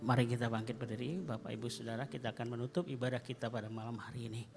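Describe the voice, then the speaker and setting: A man's voice: mid-range voice, steady tone, very fast, creaky. A man leading the closing prayer and benediction of a church service, speaking in a solemn, formal prayer cadence. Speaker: worship leader closing the service. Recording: church hall reverb with music. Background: instrumental music.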